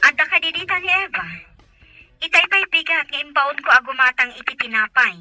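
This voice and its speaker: A woman's voice: high voice, expressive, brisk, sharp tone, faint music behind, heavily acted. A woman playing an anxious lady employer on a phone call in an Ilocano audio drama. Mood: worried. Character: anxious lady employer phoning after her missing helper.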